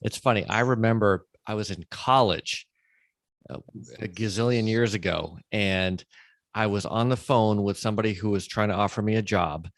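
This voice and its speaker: A man's voice: lowish voice, normal speed, no room sound. A middle-aged man hosting a podcast interview in a conversational way, recapping points and checking he has understood.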